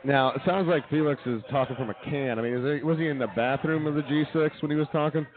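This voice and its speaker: A man's voice: fairly high pitch, fast talker. A man hosting a live comedy radio show, mixing mock-formal announcing with casual banter. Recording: thin phone-like sound with audience noise.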